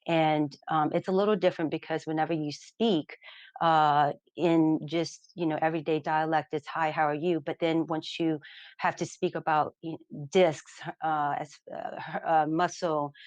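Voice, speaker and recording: A woman chiropractor, Vietnamese by first language, talks through practice advice in a low-pitched voice. She stumbles often on fillers and repeated words but stays earnest. The sound is dry and close. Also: no echo. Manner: many fillers and restarts, earnest and advising. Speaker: chiropractor presenting. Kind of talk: unscripted webinar talk.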